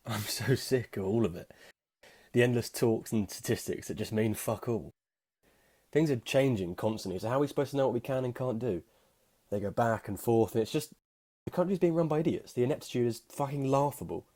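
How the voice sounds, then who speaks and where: Exasperated man played by an actor, scornful sweary diary rant in a dark, mid-pitched voice. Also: lively intonation, a little fast, close to an everyday voice. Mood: angry, fed up. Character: fed-up, angry man scornful of the government.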